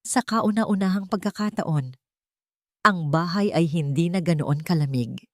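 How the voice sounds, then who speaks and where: Filipino woman narrating, lowish voice, very dry sound. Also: measured pace, no room sound. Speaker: storyteller, narrator. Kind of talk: scripted dramatic story narration.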